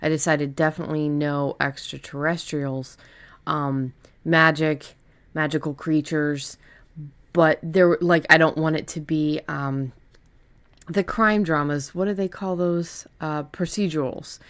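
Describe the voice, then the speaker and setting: Deep-ish low woman's voice, podcast cohost just chatting about writing, kinda halting, thinking out loud. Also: steady tone, smooth. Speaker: podcast co-host and fiction writer. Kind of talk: casual chat about writing.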